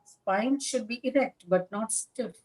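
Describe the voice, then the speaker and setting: A woman leading a guided meditation as a teacher, speaking in a calm, measured manner with long pauses between short phrases. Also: a little high, low-quality recording. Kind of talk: guided meditation instruction, live session.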